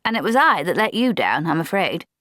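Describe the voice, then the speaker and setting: A woman's voice: fairly low pitch, very animated, fairly quick, fairly bright tone, no echo, studio quality. A woman doing a flustered young lieutenant. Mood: fretful. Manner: fussy, polite, nervous. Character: flustered young male army lieutenant, gentle.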